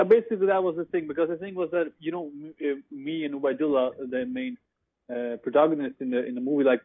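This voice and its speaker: Young guest, male, twenties, casual filler-heavy talk over a phone-like line, slightly high voice. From Norway. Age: 20s. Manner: casual, many fillers like "you know" and "like". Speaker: journalist and film director.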